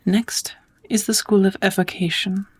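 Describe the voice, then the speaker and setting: A woman voicing a kind, patient witch in a soft, often whispered ASMR roleplay, teaching magic in a gentle, measured, slightly formal way. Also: mid-range voice, little pitch movement, husky. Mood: calm, patient.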